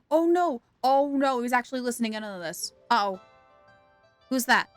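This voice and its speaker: A woman livestreaming a game with casual, playful, expressive commentary for her viewers. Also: fairly high pitch, normal speed. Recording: game music behind the voice.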